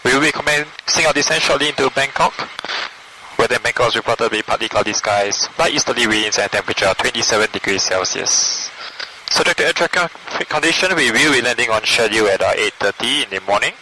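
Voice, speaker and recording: A man's voice: mid-range voice, lively intonation, fast, pushed and bright, poor audio. A man making a formal, courteous pilot's announcement to passengers over an aircraft intercom. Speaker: airline pilot. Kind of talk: flight deck passenger announcement. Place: aircraft intercom heard in the cabin. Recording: cabin speaker with faint noise.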